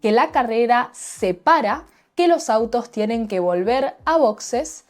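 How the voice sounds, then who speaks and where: A woman with an Argentinian accent teaches in a calm, clear way, pacing her words for learners. Her voice sits on the high side. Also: measured pace. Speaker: teacher in a played learning video. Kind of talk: clear, slow explanation for learners.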